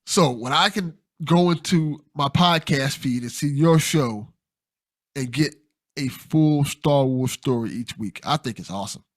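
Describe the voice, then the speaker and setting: A man's voice: medium pitch, unhurried, dry recording, clean studio sound. A man hosting a podcast in a casual, conversational style, encouraging and supportive toward his guest. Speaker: podcast host.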